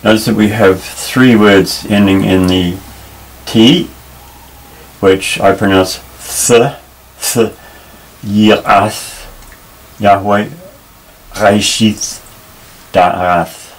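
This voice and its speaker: Slow, low-voiced man teaching Hebrew. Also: steady tone, low-quality recording. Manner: patient, hesitant, many fillers and restarts. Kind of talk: unscripted tabletop lesson, explaining while pointing. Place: indoors at a table.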